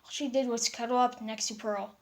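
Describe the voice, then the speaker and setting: A woman reading a fan fiction story aloud in an animated storytelling style. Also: a little high, fairly quick.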